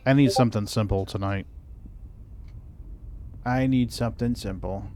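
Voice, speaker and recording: A man's voice: lowish voice, moderate pace, a little hiss or hum. A man streaming a game, chatting casually with a friend, talking to himself and humming idly. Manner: relaxed, talks to himself, hums idly. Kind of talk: casual chat while gaming.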